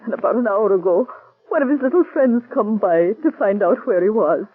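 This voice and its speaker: A frantic working-class mother in an old radio drama. Her voice sits very high and breathy, and it wobbles with worry over her missing boy. The sound is tinny and thin, like an old broadcast. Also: lively intonation, fairly quick, no echo. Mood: anxious, worried. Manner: anxious, pleading, near tears. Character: worried middle-aged mother, Mrs. McNulty. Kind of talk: 1940s radio acting.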